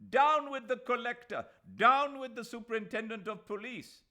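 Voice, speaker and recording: A male reader voicing a furious male agitator who yells every line, shrieking slogans. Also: very high voice, normal speed, voice pushed up. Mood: yelling. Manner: shrieking, yelling.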